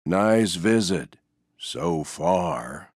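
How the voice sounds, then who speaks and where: A gloomy, gentle stuffed donkey for a video game. The voice sits very low and creaks with gravel, trudging along slowly in a mournful, resigned drone that still sags and swings in pitch. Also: male voice, expressive, no room sound, clean studio sound, heavily acted. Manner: mournful, slow, self-effacing, resigned. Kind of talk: video game character voice lines.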